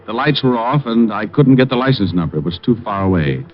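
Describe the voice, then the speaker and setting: An actor playing a cool, wisecracking private detective, dry and hardboiled, in old radio drama style. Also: male voice, lowish voice, lively intonation, fairly quick, lightly acted. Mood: wry narrating. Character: wisecracking, cool male private detective narrating his case. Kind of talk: 1940s-50s radio drama narration and acting. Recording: dull vintage radio recording, faint music.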